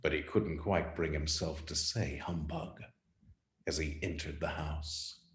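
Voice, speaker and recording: A man narrating a radio play as scripted dramatic storytelling, with theatrical, deliberate emphasis. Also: rumbling low, fairly even intonation. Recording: roomy, echoing sound.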